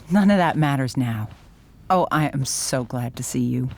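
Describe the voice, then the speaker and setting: An actress voicing an anxious, gentle middle-aged mother in a game cutscene, softly pleading and patient. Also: rumbling low, animated, a little slow, exaggerated voice. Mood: relieved, hopeful. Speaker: video game voice actor. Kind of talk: dramatic game cutscene acting.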